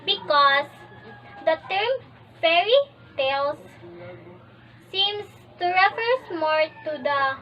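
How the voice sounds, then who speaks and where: Very high-pitched young-sounding woman, slow lesson reading. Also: lively intonation, recorded from a distance, a little hiss or hum. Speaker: student presenter. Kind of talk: scripted lesson narration. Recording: dull lo-fi, off-mic sound.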